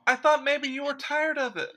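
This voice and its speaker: Man as a high, bright, guileless sitcom boy. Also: fairly even intonation, normal speed. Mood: chipper, nonchalant. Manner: nonchalant, eager. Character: chipper, guileless young son in radio sitcom. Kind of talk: 1940s radio sitcom parody acting.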